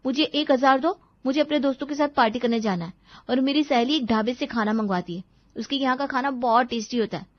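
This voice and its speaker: A woman's voice: high-pitched, fast talker, dry recording, dull audio, pitched above the performer's own voice, close to an everyday voice. A woman voicing a spoiled, sulky teenage daughter in a cartoon moral story, whining and snapping petulantly. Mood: demanding.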